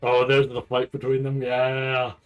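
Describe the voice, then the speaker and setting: A man doing casual livestream reaction commentary, rambling and stammering over repeated words with a nervous, excitable manner. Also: medium pitch, measured pace, muffled recording, soft background music. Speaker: streamer reacting to a live anime event.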